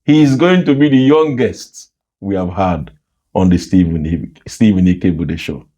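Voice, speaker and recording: A man's voice: low-pitched, normal speed, mellow. A man hosting an interview show, speaking formally and emphatically, often repeating the guest's answers back. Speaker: host.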